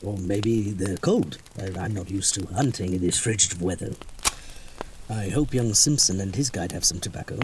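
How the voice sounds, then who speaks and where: An actor playing a concerned, fatherly gentleman hunter with a genteel, questioning manner in an audio horror drama. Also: low voice, normal speed, background noise, natural voice. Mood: casual, musing.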